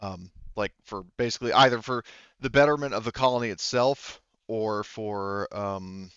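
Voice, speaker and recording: A man voicing a veteran colonial prospector in a tabletop game, casual and forthcoming, explaining in a rambling, halting way. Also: fairly low pitch, a bit bright, clean tone, dry recording, dull audio, lightly acted. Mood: explanatory.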